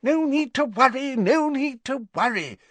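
A man voicing a flustered, fretful male wizard minister who talks in a brisk, bluffing, airy way, in an audiobook. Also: very high voice, animated, no room sound, pitched above the performer's own voice, exaggerated voice. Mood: hurried. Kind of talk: audiobook character voice.

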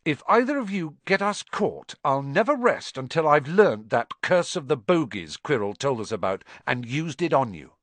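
A man acts out an irritable schoolboy in an audiobook. He is blunt and defiant, with a raised, lively pitch. The recording is dry. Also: normal speed, hardly any pauses, dry recording. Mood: threatening. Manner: muttering, grumpy bluntness. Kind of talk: audiobook character voice.

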